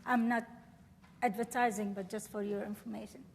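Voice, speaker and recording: A woman from Syria whose first language is Arabic, giving a measured, earnest university lecture and answering questions. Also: mid-range voice, steady tone, vocal fry, long reverb tail, slight background noise. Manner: measured, earnest, formal phrasing. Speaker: visiting scholar and lecturer. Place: lecture room.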